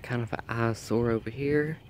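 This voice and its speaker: A man's voice: mid-range voice, steady tone, normal speed, a little mellow, slight background noise. A man with a nasal, allergy-congested voice chats casually as he films a walk through his backyard garden. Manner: chatty, thinking aloud while filming. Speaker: garden vlogger.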